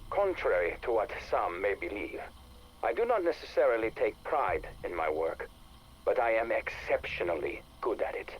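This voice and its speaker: An actor playing a cold, ruthless interrogator who dictates a report for a game audio log in measured, formal phrases with deliberate pauses. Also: male voice, fairly low pitch, sing-song, husky, poor audio. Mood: cold, clinical. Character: cold, self-assured interrogator, methodical and ruthless.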